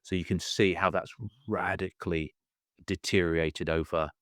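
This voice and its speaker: Male podcast host, very deep, halting with fillers, dry studio sound. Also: fairly even intonation, a bit bright, dry recording. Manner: hesitant, many fillers and restarts. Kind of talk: casual conversational podcast.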